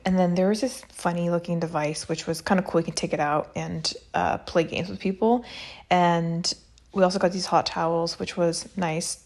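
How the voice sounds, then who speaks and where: The lowish, fry-edged woman's voice you'd hear over a travel vlog, casually and candidly giving her review. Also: darkish tone. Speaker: travel vlogger.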